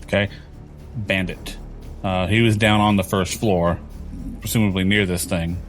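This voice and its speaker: Low-voiced man casually thinking aloud. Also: fairly even intonation, measured pace, soft background music. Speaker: tabletop game player. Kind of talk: casual in-game talk.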